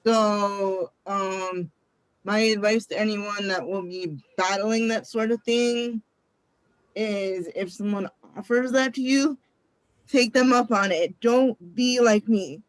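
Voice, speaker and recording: A woman's voice: medium pitch, takes it slowly, dry recording, rough recording. A woman in her twenties from Connecticut, a youth advisory board member speaking hesitantly and reflectively in a casual panel chat. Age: 20s. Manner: hesitant, reflective, many ums.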